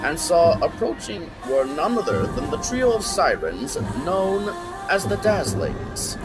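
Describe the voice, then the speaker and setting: A man's voice: on the high side, very animated, unhurried, poor audio. A man narrating a scripted story as an audiobook. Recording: music behind the voice. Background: background music.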